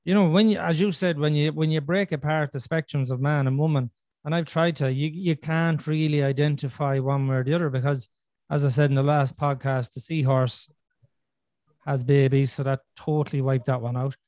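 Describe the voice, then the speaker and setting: A man's voice: a little high, steady tone, normal speed, darkish tone, no room sound. Earnest man talking on a podcast. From Ireland. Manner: earnest, thinking aloud with restarts and "you know". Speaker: podcast host. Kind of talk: casual conversational podcast chat.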